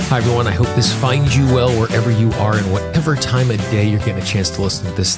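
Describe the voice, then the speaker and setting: An American man hosting a solo podcast, explaining things and speaking directly to his listeners. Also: fairly low pitch. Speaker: podcast host.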